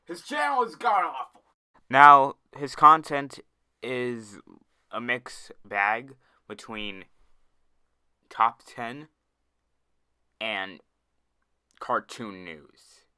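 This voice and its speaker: A man's voice: mid-range voice, expressive, drawn-out words, pauses often, bright, forceful tone, clear, resonant tone, dry recording, studio quality. Exasperated young man ranting. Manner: exasperated, trips over words and restarts often. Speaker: YouTube commentator. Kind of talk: ranting commentary video.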